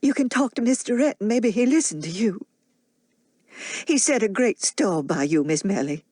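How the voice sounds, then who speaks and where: A woman's voice: mid-range voice, lively intonation, normal speed, a little mellow, slightly rough, no room sound, clean studio sound. A woman voicing a devoted, frightened old nanny with a heavy Southern US accent and plainspoken dialect. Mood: pleading.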